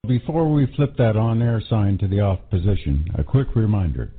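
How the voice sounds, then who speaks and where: Low, husky male promo announcer, narrow-band. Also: sing-song, normal speed. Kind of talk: scripted radio announcement.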